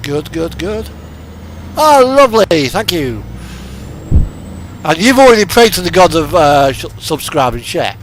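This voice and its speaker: A man's voice: fairly high pitch, sing-song, measured pace, pauses often, a little hiss or hum. A man giving casual live commentary as he streams a game, chatting and thinking aloud.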